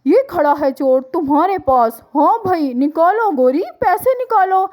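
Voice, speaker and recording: A woman's voice: extremely high pitch, expressive, measured pace, a little mellow. A woman voicing a stern male police inspector bird in a children's cartoon story, blunt and authoritative.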